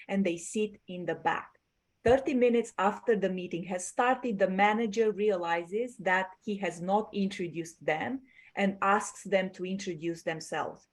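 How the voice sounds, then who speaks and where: A woman from Romania leads a class over a video call, at a middle pitch. She explains things patiently and keeps checking that her students follow along. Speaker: teacher. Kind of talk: online class instruction.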